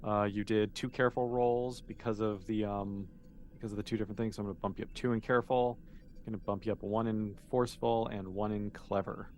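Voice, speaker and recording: A man's voice: lowish voice, level tone, fairly quick, darkish tone, a little hiss or hum. A man running a tabletop role-playing game as game master, explaining things in a casual, encouraging way.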